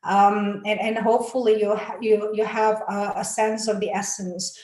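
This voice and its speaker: A woman's voice: mid-range voice, level tone, moderate pace, darkish tone. A woman from Malaysia, giving an earnest, encouraging talk on a webinar panel, full of fillers and restarts. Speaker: panel speaker. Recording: echoey room.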